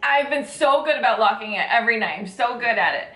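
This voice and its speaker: A woman's voice: fairly high pitch, lively intonation, quick pace, fairly bright tone. A woman in her thirties chatting casually and jokingly as a vlog co-host, recorded indoors from a few feet away. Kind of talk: casual comedic chat between friends. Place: small room at home, indoors. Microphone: camera a few feet away. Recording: roomy echo, faint background noise.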